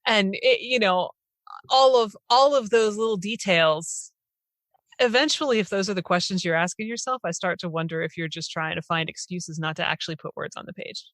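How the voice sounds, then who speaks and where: A woman's voice: mid-range voice, moderate pace, no room sound. Female podcast host, mid-range voice, casual conversational delivery, dry room-free recording.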